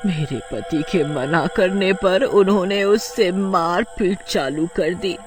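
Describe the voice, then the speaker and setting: A man voicing a murdered wife turned avenging witch in a horror story, with drawn-out, tearful telling. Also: high-pitched, music in the background. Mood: sorrowful.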